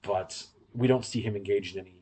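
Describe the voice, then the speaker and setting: A man's voice: lowish voice, steady tone, a little fast, mellow, a little hiss or hum, rough recording. A man co-hosting a podcast, giving casual, in-depth fan commentary in a rambling, explanatory way. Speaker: podcast co-host.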